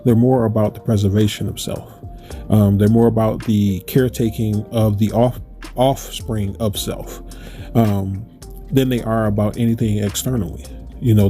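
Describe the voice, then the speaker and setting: A man's voice: lowish voice, dark tone. A man hosting his channel in a rambling monologue, his voice stuffy from allergies, asking rhetorical questions and correcting himself. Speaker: channel host. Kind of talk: casual monologue to camera.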